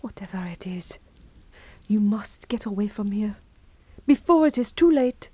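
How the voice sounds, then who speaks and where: An actress playing an anxious, devoted French maidservant in an audio horror drama, deferential, earnest and hesitant. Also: female voice, mid-range voice, animated, a little slow, warm tone, slightly rough, dry recording. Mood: fearful, resigned.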